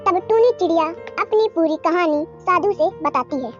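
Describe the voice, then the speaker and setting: A woman narrates a children's story in an extremely high voice. The recording is a bit dull, with soft music playing underneath. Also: moderate pace.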